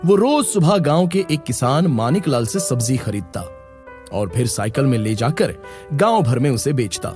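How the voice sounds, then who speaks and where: A man narrating a moral story for children in a steady, instructive way. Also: mid-range voice, moderate pace. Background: background music.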